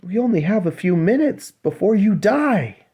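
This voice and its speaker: A man's voice: sits high, very animated, moderate pace, mellow, slightly rough, voice pushed up, exaggerated voice. A man voicing urgent, pleading mermaid sisters in a storybook tale, with an insistent, imploring manner. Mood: frantic, urgent.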